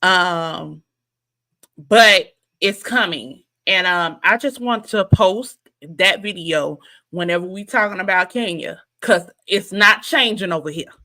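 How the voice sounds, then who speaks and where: A woman's voice: fairly low pitch, slow, sharp tone. A woman in her thirties giving casual, emphatic commentary straight to her viewers, repeating her points. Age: 30s. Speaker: reality TV reviewer.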